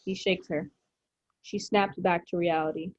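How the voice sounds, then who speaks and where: A woman's voice: on the low side, steady tone, unhurried, a little mellow, no room sound. A woman reading stage directions as scripted narration at a drama table read. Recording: dry, muffled lo-fi audio.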